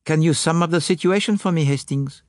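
A man's voice: a little high, brisk, darkish tone, dry recording, clean studio sound, pitched above the performer's own voice, close to an everyday voice. A man voicing a courtly, confident Belgian detective with a French-speaking accent and formal, precise phrasing, in an audiobook story. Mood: pleased. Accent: French-speaking Belgian, put on.

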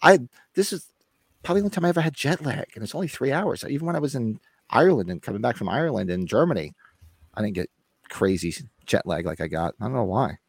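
Co-host, male, lively, fast talker, voice fading, dry close sound. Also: mid-range voice, gravelly, breathy edge, dry recording. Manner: lively, joking, reads viewer comments aloud. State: losing his voice. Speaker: podcast co-host. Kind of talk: casual chat, reading live chat.

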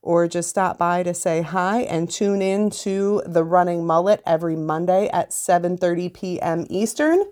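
A woman's voice: on the low side, steady tone, normal speed, few pauses, darkish tone. A woman with an American accent, a podcast host reading a written story aloud.

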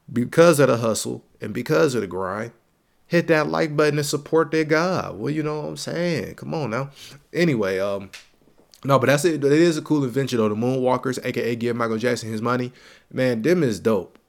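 A man's voice: mid-range voice, normal speed, gravelly. A young man with a stuffy, congested voice hosts his own gaming podcast in a loose, slangy way. He keeps the hype going by repeating phrases for emphasis. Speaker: podcast host. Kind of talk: casual solo gaming podcast.

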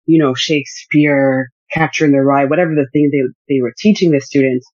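A counselor from Uganda, reflecting in a casual conversation in a measured way. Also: mid-range voice, steady tone, a little mellow, dry recording, highs cut off. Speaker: counselor and guest.

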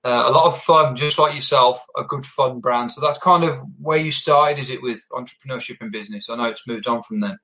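A man's voice: mid-range voice, a little fast, few pauses, bright, forceful tone, low-quality recording. A man hosting a podcast, chatting in an upbeat interview style. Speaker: podcast host. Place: based in Melbourne, live online podcast.

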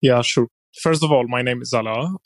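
A man's voice: medium pitch, normal speed. Teenage man, Arabic speaker, student podcast guest, dry echo-free sound. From Aleppo, Syria. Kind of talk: conversational interview answers.

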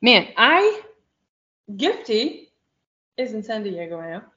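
A woman co-hosting a casual chat, talking in a rambling, lively way about her week as a church school teacher. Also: medium pitch, slow pace. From outside the United States. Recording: dull lo-fi sound.

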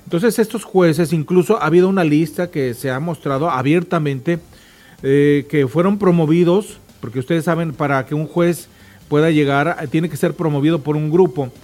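A man's voice: fairly high pitch, normal speed, a little mellow. A man hosting a morning radio show, reading news reports and commenting in a colloquial way, speaking straight to listeners. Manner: colloquial, addresses listeners directly.

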